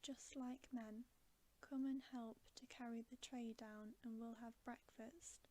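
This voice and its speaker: A homely, bossy female beaver from a storybook, whispered by a woman reader. She scolds in a soft, mellow hush. The voice is motherly and fussing. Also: a little high, steady tone, takes it slowly.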